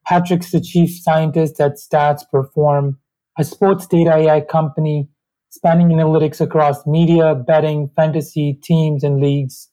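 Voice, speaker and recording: Warm-voiced host introducing a guest. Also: male voice, a little high, level tone, rough recording. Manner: measured, formal, slightly uneven grammar. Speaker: podcast host. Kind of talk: scripted intro and interview questions.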